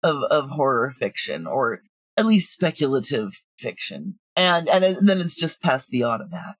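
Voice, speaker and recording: A woman's voice: a bass voice, sing-song, normal speed, husky, no echo, dull audio. A woman chatting casually as an interview guest, telling stories with plenty of asides. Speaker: guest, audio drama creator.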